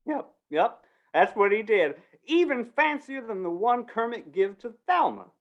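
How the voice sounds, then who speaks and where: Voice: mid-range voice, expressive, measured pace, dull audio, lightly acted. Someone acting a swooping, folksy western town gossip. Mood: relishing the tale. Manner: drawling, folksy rural talk. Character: gossipy folksy small-town justice and undertaker. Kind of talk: old-time western radio drama acting.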